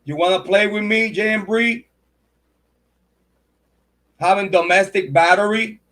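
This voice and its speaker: A man with a fairly high voice hosting a true crime stream and tearing into a rival. He curses freely and repeats his lines for punch. Between jabs he stops to thank his viewers by name. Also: a little slow, a bit bright. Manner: heated, profane and repetitive, calling out a rival. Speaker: true crime channel host. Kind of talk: livestream commentary rant.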